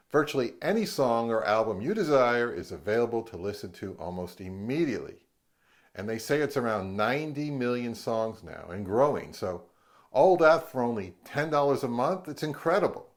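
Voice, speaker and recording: Middle aged guy doing a vlog review, voice a bit on the low side. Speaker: host, audio gear reviewer.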